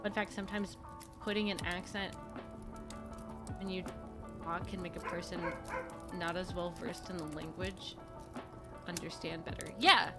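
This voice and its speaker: A woman's voice: lowish voice, steady tone, a little slow, pauses often. A woman streamer in casual chat, rambling and laughing often with faint music behind her.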